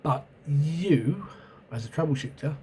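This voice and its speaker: A man's voice: fairly high pitch, lively intonation, unhurried, mellow, a little hiss or hum. Congested man rambling through a game review. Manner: rambling, hesitant, many ums and self-corrections. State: congested with a cold and sore throat. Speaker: channel host reviewing role-playing games. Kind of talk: casual spoken video review. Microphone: camera mic.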